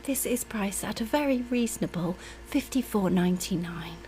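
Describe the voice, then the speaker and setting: Soft lady doing asmr air hostess roleplay, soothing and half whispery, clear cabin rumble noise behind her. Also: lively intonation, normal speed. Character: reassuring, attentive female flight attendant. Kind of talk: ASMR roleplay, personal attention.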